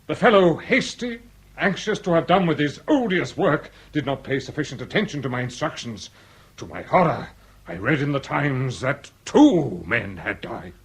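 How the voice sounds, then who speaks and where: Husky, grave aristocratic older lord. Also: male voice, medium pitch, animated, moderate pace. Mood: anguished. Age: 60s. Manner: formal, ornate, dramatic. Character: grave aristocratic lord past sixty, confessing a dark secret. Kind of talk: period radio drama acting.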